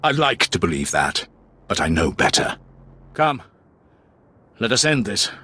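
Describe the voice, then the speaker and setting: An actor playing a solemn, honor-bound Sith lord in a video game, speaking in a measured, formal, menacing way. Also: male voice, sits low, big pitch swings, sharp tone, breathy edge, heavily acted. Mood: solemn, resolute. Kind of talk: dramatic video game acting.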